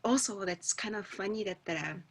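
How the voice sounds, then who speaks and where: A middle-aged Japanese woman whose first language is Japanese, telling her story in halting English on a video call as a guest musician. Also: medium pitch. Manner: halting, searching for words in a second language. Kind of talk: reflective personal storytelling.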